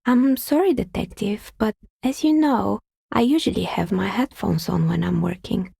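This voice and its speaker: Casting: wry woman dicemaker for a game, creaky voice, politely skeptical, close dry sound. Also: mid-range voice, dark tone, no echo, heavily acted. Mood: polite, matter-of-fact. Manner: dry, sardonic, measured politeness. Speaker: video game character. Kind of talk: video game dialogue acting.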